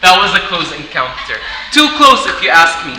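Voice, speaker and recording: An actor playing a breathlessly dramatic nature-documentary narrator, recorded in an echoey room. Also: male voice, a little high, big pitch swings, brisk, sharp tone, soft background music, heavily acted. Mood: wry, grave. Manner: dramatic, suspenseful. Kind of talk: mock wildlife documentary narration. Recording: echoey room with clear noise.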